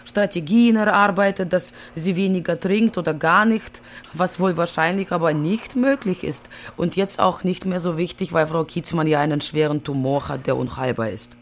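A woman's voice: on the low side, moderate pace, lightly acted. An actress playing a female care worker who reports in a plain, official, matter-of-fact way.

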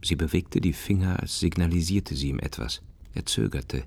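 Very deep, gravelly male narrator. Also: moderate pace, a little mellow. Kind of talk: scripted literary narration for a radio play. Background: plucked guitar music.

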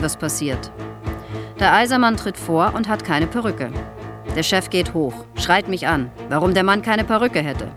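An actor playing a bitter, self-pitying old theatre make-up artist in a radio drama, talking colloquially, with grievance and boasting. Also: male voice, high-pitched. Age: older.

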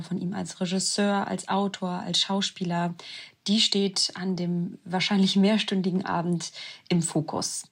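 Woman dramaturg chatting in interview, husky with lots of fry. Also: mid-range voice. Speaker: theatre dramaturg being interviewed. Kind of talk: conversational interview explanation.

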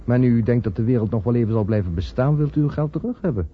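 An actor playing a cool, unyielding businessman in a Dutch radio play, formal, brisk and argumentative. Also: mid-range voice, lively intonation, lightly acted. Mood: coolly refusing. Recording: muffled lo-fi old radio recording, hiss.

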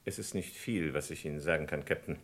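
A man's voice: a bass voice, quick pace, husky, exaggerated voice. A man playing a weary, curt older father in mourning in a German crime radio play, restrained and formal. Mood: curt, subdued.

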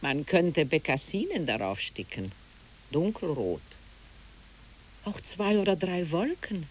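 A dreamy country woman in a radio drama, musing slowly to herself and others. Her pitch swoops widely through each phrase, over a hissy old recording. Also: big pitch swings, full character voice, poor audio. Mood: musing, probing. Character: dreamy, unhurried country woman who knits the sea. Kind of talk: literary radio play acting.

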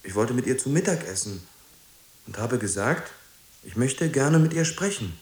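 A man's voice: medium pitch, very animated, moderate pace, a little mellow, echoey room, natural voice. An actor playing an earnest young man in a radio drama, hesitant yet persistent and pleading. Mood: insistent. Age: young adult. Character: earnest, persistent young man, shy but insistent visitor.

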